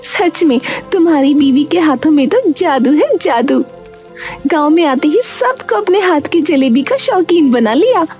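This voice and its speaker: A woman's voice: sits high, lively intonation, measured pace, darkish tone, faint music behind, voice pushed up. A woman voicing a chatty village customer. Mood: praising. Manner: friendly and praising.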